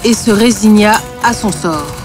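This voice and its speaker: A woman narrating a scripted fairy tale, with a deadpan streak. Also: mid-range voice, unhurried, music in the background.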